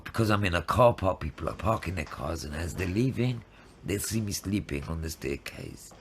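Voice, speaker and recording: A man in his fifties giving a halting, reflective personal testimony. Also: low-pitched, lively intonation, vocal fry, a little hiss or hum. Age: 50s. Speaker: interviewee telling own story of addiction and homelessness.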